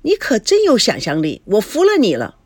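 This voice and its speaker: Woman acting a feisty, defiant young woman, animated. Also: on the high side, brisk, no room sound. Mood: indignant. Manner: assertive, exasperated.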